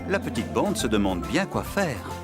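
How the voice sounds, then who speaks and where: A male narrator tells a scripted story for young children. His pitch is lively and expressive. Music runs clearly behind the narration. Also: normal speed.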